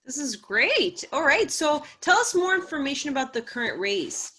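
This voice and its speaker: A woman investor asking questions in a pitch meeting, upbeat and encouraging. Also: very animated, normal speed, pushed and bright, slight background noise.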